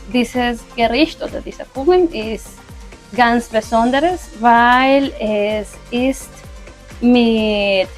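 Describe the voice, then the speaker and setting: A woman, a German teacher, answering interview questions slowly and carefully with pauses. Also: fairly high pitch. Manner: slow and careful, with fillers.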